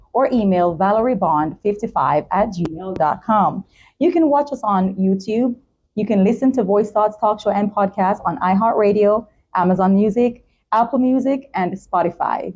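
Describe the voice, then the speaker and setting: A woman's voice: mid-range voice, darkish tone, slightly rough. A woman talk show host with an effusive, polite presenting manner, speaking in announcements and interview chat.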